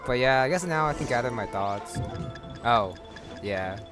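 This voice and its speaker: A man's voice: mid-range voice, lively intonation, moderate pace. A man giving casual gameplay commentary, musing in a dry, offhand way. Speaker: gamer commentator. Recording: game music behind.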